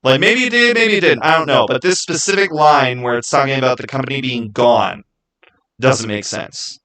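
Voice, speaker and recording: A man's voice: mid-range voice, moderate pace, sharp tone. Insistent male live streamer. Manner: emphatic, insistent, repeats phrases. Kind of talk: casual live chat with viewers, arguing game theories.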